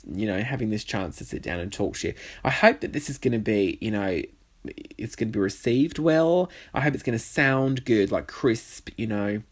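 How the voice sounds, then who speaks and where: A young man with a nasal voice, hosting a casual solo podcast with cheeky, sweary chatter. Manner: chatty, cheeky and swearing, with lively pitch swings. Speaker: podcast host. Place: bedroom.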